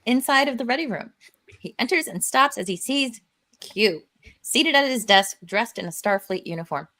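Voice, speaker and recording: Higher-voiced woman narrating, lively inflection. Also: moderate pace. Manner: informal, playful asides. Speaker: host and narrator. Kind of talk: live table read narration of stage directions.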